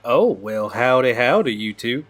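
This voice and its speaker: A male actor plays a breezy, excitable male friend in an audio drama. He talks playfully with a folksy touch, in a medium-pitched voice. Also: a little slow, soft background music. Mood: playful, excited.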